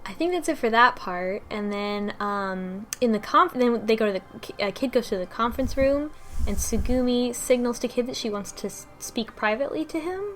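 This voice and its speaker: A woman's voice: a little high, animated, normal speed, a bit bright. A woman co-hosting a casual group chat, recapping a visual novel story. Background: background music.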